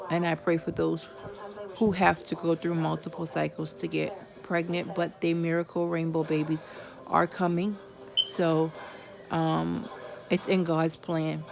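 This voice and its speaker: A woman's voice: fairly low pitch, vocal fry. A woman of forty giving viewers a candid personal update, chatting casually with religious asides. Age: 40s. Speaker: vlogger.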